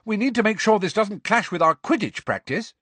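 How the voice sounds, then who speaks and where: A man doing a determined teenage girl who cuts in with urgent insistence. The voice is a little husky. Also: high voice, lively intonation, fairly quick, a bit bright, no echo, voice pushed up. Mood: insistent.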